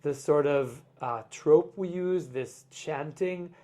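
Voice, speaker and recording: A man, a guest on a podcast, talking in a casual, reflective way and often pausing with "like" as he explains his ideas. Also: fairly high pitch, mellow. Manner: reflective, explains with many "like" fillers. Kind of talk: casual conversation.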